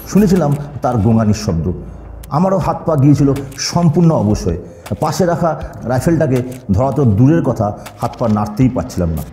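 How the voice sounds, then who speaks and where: A man narrates a horror audio story dramatically. His voice is very dark and mellow, with an echo on it. Soft music plays behind him. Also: mid-range voice.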